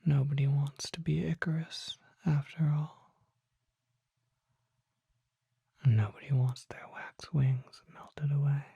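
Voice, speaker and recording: A performer voicing a refined, mysterious vampire shopkeeper in a soft, breathy ASMR whisper, speaking formally with deliberate pauses. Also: mid-range voice, fairly even intonation, no room sound, exaggerated voice. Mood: gentle awe. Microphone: close microphone.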